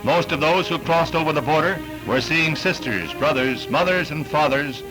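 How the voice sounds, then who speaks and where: A man's voice: on the high side, moderate pace, rough recording. An American man narrating a scripted 1960s newsreel in a formal, declamatory announcer style on a vintage soundtrack. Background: orchestral newsreel music.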